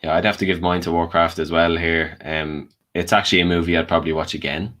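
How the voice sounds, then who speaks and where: A man's voice: sits low, little pitch movement, fast, a bit bright. A man talking casually as a panellist, giving opinions in an informal film debate. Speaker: guest panellist.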